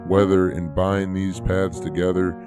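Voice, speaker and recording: A man reading a scripted, measured podcast intro in a slow, solemn way. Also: deep voice, level tone. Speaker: podcast host doing the show intro. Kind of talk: scripted narration over piano music. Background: loud piano music.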